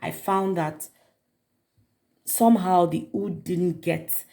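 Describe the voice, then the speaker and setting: A woman hosting her perfume channel, chatting warmly to viewers in a casual review, her voice raspy from a cold. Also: fairly low pitch, fairly even intonation, slow. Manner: friendly, chatty, direct to viewers.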